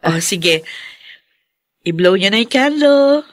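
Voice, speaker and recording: Slow, earnest Filipino drama heroine. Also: female voice, medium pitch, lively intonation, no echo. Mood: cheerful, doting. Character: devoted adult daughter-in-law, earnest, encouraging family peacemaker. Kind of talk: Filipino radio drama acting.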